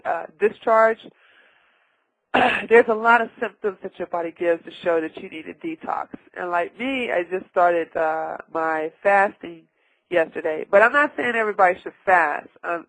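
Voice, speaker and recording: A man's voice: sits high, fairly bright tone, no echo, low-quality recording. A man hosting a health question-and-answer show, sounding nasal and stuffed up, rambling and often correcting himself. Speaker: radio health show host. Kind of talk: casual question-and-answer talk.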